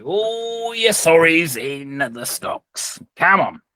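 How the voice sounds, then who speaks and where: A man voicing a gruff, mocking town constable who swears crudely and is eager for a hanging. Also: a little high, big pitch swings, slow, bright, forceful tone, dry recording, voice pushed up, exaggerated voice. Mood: barking.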